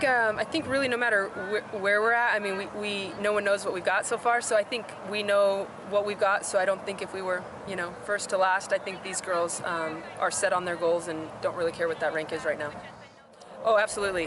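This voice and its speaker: A woman's voice: mid-range voice, fairly even intonation, fairly quick. A woman, a soccer coach, giving an informal interview. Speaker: women's soccer head coach. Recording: outdoor ambience, clear background noise.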